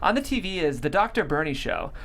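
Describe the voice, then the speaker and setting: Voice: mid-range voice, very animated, brisk, fairly bright tone. A narrator reading a screenplay's stage directions aloud at a table read.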